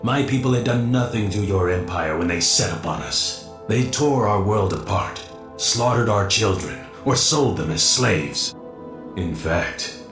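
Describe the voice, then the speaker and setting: Deep echoing vengeful alien rebel. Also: male voice. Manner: grave, accusing. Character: vengeful male alien rebel leader, bitter and defiant. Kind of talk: video game cutscene acting. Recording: echoing voice over game music.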